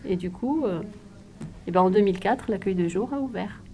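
A woman's voice: mid-range voice, normal speed, dark tone. A woman answering interview questions, explaining at length with many fillers. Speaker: interviewee, care service staff.

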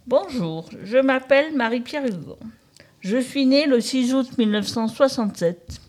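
A woman in her fifties reading a prepared text aloud in a halting, word-by-word way. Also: high voice, lively intonation, a little mellow. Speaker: radio show participant.

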